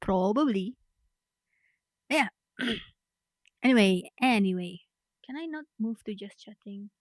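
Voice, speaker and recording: A woman's voice: mid-range voice, animated, takes it slowly, dry recording, studio quality. A woman streamer wrapping up a game stream in casual chat, rambling and correcting herself as she goes. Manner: rambling, self-correcting, trailing off.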